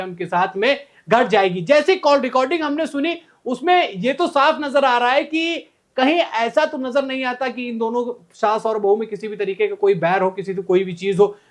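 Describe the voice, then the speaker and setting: A news presenter giving an informal explainer commentary, talking to viewers conversationally as friends. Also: medium pitch.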